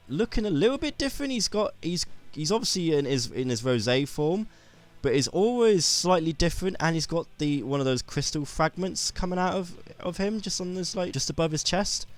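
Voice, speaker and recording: A man's voice: on the high side, expressive, moderate pace, soft background music. A man with a sore throat, rambling through a casual gaming commentary with many restarts and fillers.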